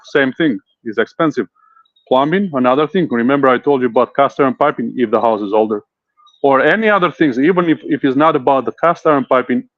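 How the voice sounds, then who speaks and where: A man's voice: mid-range voice, no echo, lo-fi sound. A man who grew up in Italy, a home inspector answering interview questions earnestly and with many hesitant fillers.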